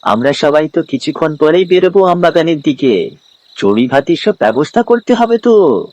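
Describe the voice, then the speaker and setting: A man playing a blunt, excitable young villager in an animated story. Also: a little high, moderate pace. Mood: breezy.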